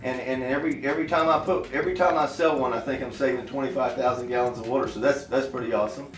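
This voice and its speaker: A man's voice: medium pitch, fairly even intonation, quick pace. A man from Florida, talking earnestly as an interview guest in a folksy, plain-spoken, emphatic way. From Florida, United States. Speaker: inventor and farm irrigation worker, interview guest. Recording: roomy, distant mic, faint music behind.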